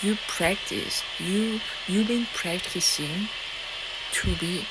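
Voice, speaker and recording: Woman, Korean accent, slow delivery, defiant, profane, lots of fillers, loud steady background noise. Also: on the low side. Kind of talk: rambling monologue to viewers.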